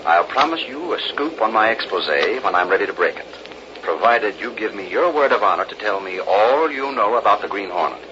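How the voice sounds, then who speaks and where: Guy playing determined american grand jury investigator, low voice, formal, old noisy radio drama. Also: expressive, brisk, natural voice. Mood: firm bargaining. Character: determined, confident one-man grand jury investigator, father. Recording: dull, noisy 1930s-40s radio recording.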